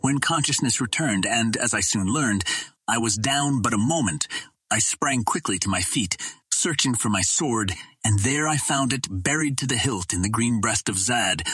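A man narrating a pulp adventure audiobook in the first person, with a dramatic, measured reading. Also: mid-range voice, pushed and bright, slightly rough, no room sound.

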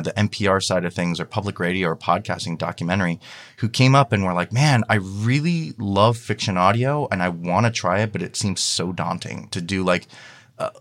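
A man's voice: low-pitched, fairly bright tone. A man speaking casually as an interview guest, conversationally explaining his creative work and voicing his own thoughts aloud. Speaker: guest, fiction podcast creator.

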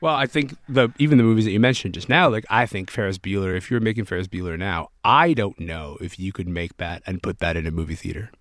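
Man, low voice, from Sudan, thoughtful, casual, hesitant, dry sound. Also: quick pace, hardly any pauses, a bit bright, no echo. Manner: thoughtful, lots of ums and restarts. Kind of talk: casual discussion.

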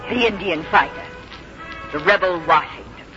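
A woman's voice: lowish voice, moderate pace, pushed and bright. An actress playing a coy, wistful yet defiant society lady, theatrical and teasing, in a radio drama. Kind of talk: 1950s radio drama acting. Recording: dull 1950s radio recording, music behind.

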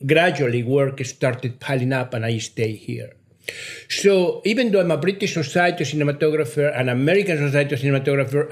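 An older man from Mexico, a Spanish speaker, answering interview questions effusively, repeating words for emphasis. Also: medium pitch. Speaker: cinematographer, interview guest.

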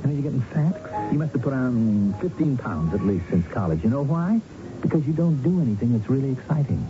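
A man's voice: medium pitch, sing-song, brisk, lightly acted. An actor playing a breezy, cynical man who cajoles and teases an old friend, in 1970s radio drama style. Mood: cajoling, teasing. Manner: cajoling, teasing, offhand. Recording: dull 1970s radio recording with music.

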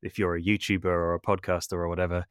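A man's voice: low voice, level tone, fast talker, clean tone, dry recording, studio quality. A man speaking reflectively as an interview guest, thoughtful and often hedging or correcting himself as he goes. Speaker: podcast guest, audio drama maker and composer.

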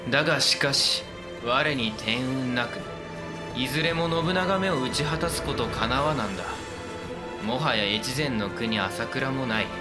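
An actor playing a proud, honorable samurai lord in a period audio drama, speaking in archaic, commanding, impassioned phrases. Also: male voice, a little high. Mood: grave, resigned.

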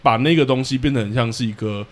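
A man's voice: mid-range voice, quick pace. A man with a Taiwanese accent co-hosting a casual podcast discussion, chatty and a little self-deprecating. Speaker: podcast co-host.

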